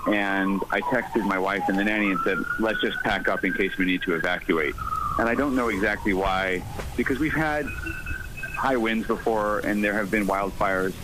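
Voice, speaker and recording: A middle-aged man giving a measured, articulate eyewitness account in a live news interview. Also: fairly low pitch, background noise. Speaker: interviewed journalist and evacuated resident.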